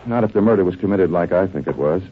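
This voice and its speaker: Shrewd folksy detective, vintage radio drama, husky edge, muffled narrow-band sound. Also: male voice, deep voice, animated, fast talker. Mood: puzzled, deliberate. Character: genial, shrewd male detective doctor investigating a desert murder. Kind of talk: 1940s radio drama acting.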